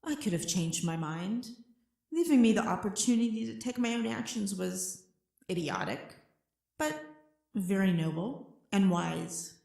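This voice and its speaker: A woman plays a cold and cunning lady villain from an audio drama, proud and imperious. Her voice has a gravelly creak at a medium pitch, with clipped, haughty delivery in an echoing room. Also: lively intonation, unhurried, darkish tone. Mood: cool, grudging. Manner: haughty, clipped, formal, dry. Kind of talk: scripted audio drama acting.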